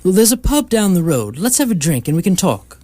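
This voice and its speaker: Woman acting a deadpan alien in human guise, husky, insistent, lively pitch, radio comedy. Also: a little fast. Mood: casual. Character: urgent, matter-of-fact alien posing as human friend.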